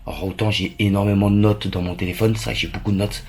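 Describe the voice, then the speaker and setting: Low-voiced man chatting to camera. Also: flat delivery, fast talker, darkish tone, a little hiss or hum. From France. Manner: earnest, informal, repeats phrases for emphasis. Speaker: vlogger. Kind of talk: casual vlog chat to camera.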